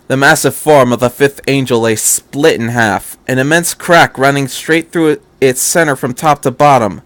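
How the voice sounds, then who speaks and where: A man's voice: mid-range voice, moderate pace, fairly bright tone, no room sound. A man narrating a scripted fan fiction story as an amateur audiobook reader.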